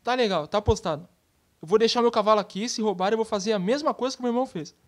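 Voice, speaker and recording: A woman plays a cocky young cowboy in a cartoon western skit. He rattles off boasts very quickly in a highish Brazilian-accented voice. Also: natural voice. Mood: boastful. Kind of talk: cartoon comedy sketch acting.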